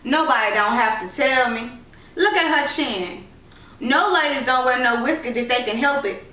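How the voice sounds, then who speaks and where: A woman's voice: sits high, normal speed, bright, forceful tone, far from the mic. A woman voicing a stubborn, spirited little girl with a rural Southern accent in a storybook reading, insistent and defiant. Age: child. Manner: defiant, insistent, dialect-heavy. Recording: roomy echo, noisy, off-mic home recording.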